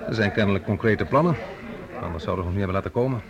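A man's voice: fairly low pitch, very fast, creaky, highs cut off. A man acting a breezy, teasing scientist in chatty, conversational dialogue from a science fiction radio play. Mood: concerned. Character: breezy, teasing male scientist with an eye for women. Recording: radio play with crowd behind. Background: crowd murmur.

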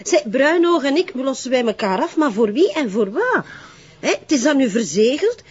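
Casting: plain-spoken Flemish female officer, fairly high animated voice, naturalistic TV drama, a bit muffled. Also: moderate pace, breathy edge, full character voice. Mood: grumbling. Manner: familiar, colloquial. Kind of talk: naturalistic television crime drama acting. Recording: slightly muffled audio.

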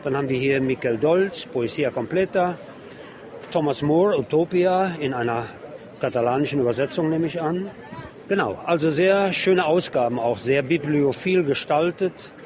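Higher-voiced male street reporter amid crowd noise. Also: moderate pace. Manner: unscripted descriptive commentary, reading out titles. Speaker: radio reporter. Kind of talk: live spoken field report describing book stalls. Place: outdoors on a busy city street among book stalls.

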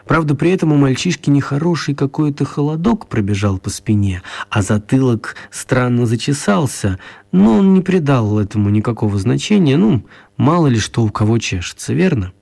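A man narrating a children's story for radio in an expressive storytelling style. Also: medium pitch, moderate pace, creaky.

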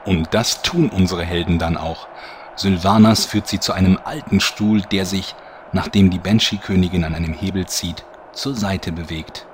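A man's voice: low-pitched, moderate pace, a little hiss or hum, lightly acted. A man playing the storyteller of a mock-epic fantasy soap, narrating a scripted audio drama. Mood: measured, mock-epic.

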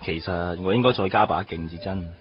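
A man playing a smooth-talking playboy suitor, coaxing and self-mocking in a radio drama. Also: low-pitched, a little fast, faint music behind, lightly acted. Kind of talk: Cantonese radio drama acting. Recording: dull lo-fi radio recording.

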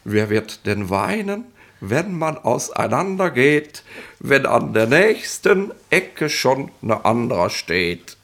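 Smug petty police inspector, middle-aged, creaky voice, officious rambling, 1960s radio play. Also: male voice, medium pitch, sing-song, slow pace, a bit bright, heavily acted. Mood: smug, teasing. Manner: colloquial, rambling, officious, tag questions.

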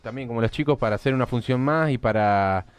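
A man's voice: medium pitch, fast, clean tone, soft background music. A man speaking casually in a radio interview as a theatre director and teacher.

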